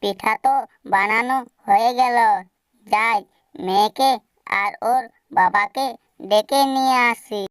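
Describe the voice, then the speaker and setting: A woman voicing a caring, indulgent mother bird in a family cartoon, with a gentle manner. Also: fairly high pitch, takes it slowly, pauses often, clear, resonant tone, no room sound, clean studio sound, far from the mic. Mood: busy, motherly. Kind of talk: scripted cartoon voice acting.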